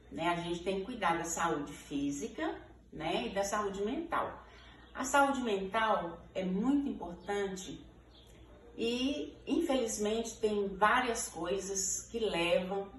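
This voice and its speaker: The unhurried woman in her sixties you would hear giving an informal interview in a reverberant room in inland Minas Gerais, chatting in the local Brazilian accent. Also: mid-range voice. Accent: Brazilian Portuguese, Minas Gerais. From Ferros, Minas Gerais, Brazil. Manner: conversational, frequent checking tags like "né" and "sabe". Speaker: interviewee, teacher. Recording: echoey room, distant mic, background noise.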